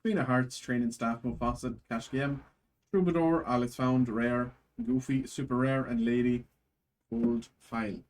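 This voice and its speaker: Guy doing a fake irish accent, reading card names off fast one after another. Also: medium pitch, steady tone, a little mellow, rough recording. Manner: rattling off card names in a list. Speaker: card break host. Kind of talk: live card break, reading out pulls.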